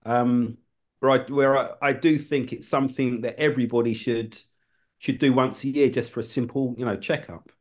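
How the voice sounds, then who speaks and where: A man, a former television producer, talking as a guest in a relaxed conversation, often restarting his phrases. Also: medium pitch, normal speed. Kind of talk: casual conversation.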